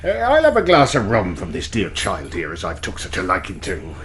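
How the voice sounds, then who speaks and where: An actor playing a sly old pirate in a radio play, wheedling in rustic sailor talk with mock-friendly menace. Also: male voice, low-pitched, huge pitch swings, a little fast, fairly bright tone, a little hiss or hum, heavily acted.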